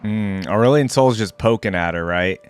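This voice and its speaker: A man's voice: fairly low pitch, moderate pace, background noise. A man giving casual reaction commentary, musing and repeating himself as he goes. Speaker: reaction video host.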